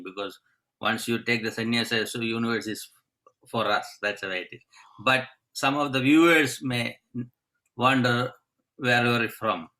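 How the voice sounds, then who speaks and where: A man hosts an interview on a video call, his voice bright and forceful. He speaks in a halting way with non-native phrasing as he puts questions to guests. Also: mid-range voice, low-quality recording. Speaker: interview host.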